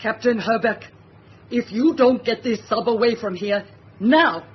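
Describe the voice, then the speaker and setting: A woman's voice: on the high side, unhurried, natural voice. A woman playing an imperious, insistent government official in an old-time radio drama, formal and demanding. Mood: insistent, menacing. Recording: dull lo-fi radio drama, faint noise.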